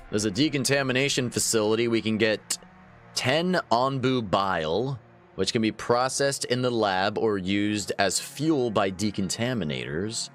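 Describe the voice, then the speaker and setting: A man's voice: lowish voice, measured pace, fairly bright tone, faint music behind. A man in his thirties chatting casually while livestreaming a game, laughing often and answering his viewers. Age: 30s. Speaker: gaming livestreamer. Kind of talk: casual live gameplay chat.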